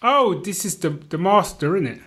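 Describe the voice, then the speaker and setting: A man's voice: high voice, lively intonation, normal speed, crowd noise. A man chatting casually as a podcast co-host in an informal, easygoing, agreeable way.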